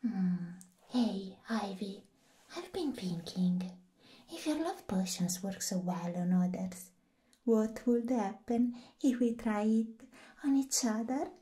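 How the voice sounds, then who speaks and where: A woman playing a playful, slightly mad young villainess, soft and girlish, whispering and teasing in an ASMR roleplay. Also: mid-range voice, lively intonation, slow pace. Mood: coy, mischievous. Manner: teasing, coy.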